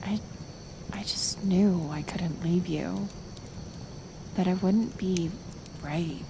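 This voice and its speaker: Casting: woman as kind, lonely half-elf healer for ASMR roleplay; unhurried, hushed, tentative delivery with some vocal fry. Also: lowish voice, noisy background. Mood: solemn, wistful. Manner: soft, hesitant, soothing, with pauses. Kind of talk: intimate ASMR roleplay acting.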